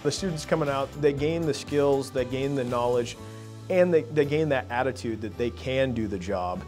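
A middle-aged man, a school administrator, speaking in a measured, promotional way in a documentary interview. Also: medium pitch, a little mellow, soft background music. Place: indoor office.

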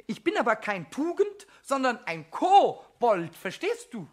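An actor voicing a small, cheeky, boastful male kobold in a German children's radio play, squawky and raspy, exclaiming and stretching words. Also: extremely high pitch, huge pitch swings, unhurried, full character voice. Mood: explaining.

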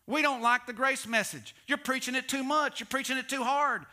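A middle-aged male pastor preaching a sermon on a church stage, animated, swinging between loud emphasis and hushed asides. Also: extremely high pitch, moderate pace.

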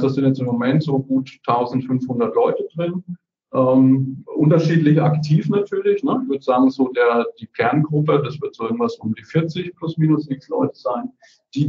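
A man's voice: mid-range voice, mellow. Guy on a video call, talks casual with lots of filler tags, dull muffled sound. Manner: chatty, frequent "ne?" tags and "äh" fillers. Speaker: community founder presenting on a call. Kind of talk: casual screen-share presentation. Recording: roomy, distant, dull video-call audio.

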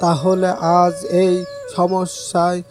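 An elderly village elder in a cartoon folk tale, voiced by an actor. The delivery is slow and even, heavy with sorrow and stubborn attachment to home. Music plays clearly behind the voice. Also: mid-range voice, close to an everyday voice. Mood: earnest regret. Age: older. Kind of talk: animated folk tale dialogue.

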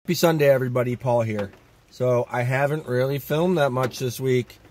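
A man in his thirties talks into a phone held close inside a car. He is relaxed and offhand, giving a weekly family vlog update. His voice sits at a middle pitch. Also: brisk. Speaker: family vlogger, father. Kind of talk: casual vlog greeting to camera.

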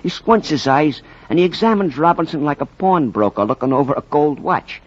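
High, animated, slangy storyteller. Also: male voice, fairly quick. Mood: wry, colorful. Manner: folksy, theatrical. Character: slangy, colorful storyteller, wisecracking baseball fan. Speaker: narrator. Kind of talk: 1940s radio drama narration. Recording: dull, faintly noisy 1940s radio recording.

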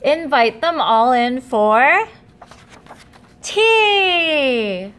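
High-voiced woman reading a picture book. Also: very slow. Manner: expressive, addresses young listeners directly. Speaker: storybook reader. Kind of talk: children's picture book read-aloud.

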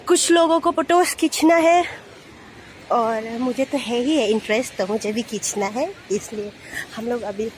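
A young woman chats through her vlog in a high voice, taking her time over each phrase. She films on a handheld phone while walking a rocky riverbank outdoors, tossing English words into her talk. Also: a little hiss or hum. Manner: chatty, mixes in English words. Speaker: vlogger. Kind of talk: casual vlog narration. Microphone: handheld phone camera.

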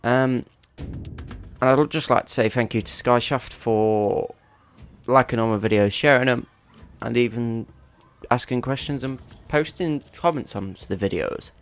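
A man's voice: medium pitch, measured pace, soft background music. A young man with a cold, chatting casually and swearing now and then while commentating his own gameplay. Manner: rambling, chatty, swears at opponents. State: congested with a cold. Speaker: gaming YouTuber.

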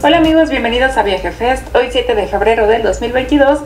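A woman's voice: a little high, expressive, a little fast, roomy sound, soft background music. A woman co-hosting a travel channel, reading an upbeat, announcer-like introduction to the video. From Mexico. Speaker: travel YouTuber co-host.

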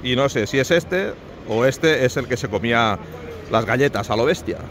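A man's voice: mid-range voice, animated, normal speed. Chatty Spanish man filming at a market. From Spain. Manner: chatty, asks viewers questions, jokes about his English. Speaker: vlogger, flea market dealer. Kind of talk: casual vlog chat to camera. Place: large covered flea market, outdoors. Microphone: phone at arm's length. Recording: noisy open-air market background.

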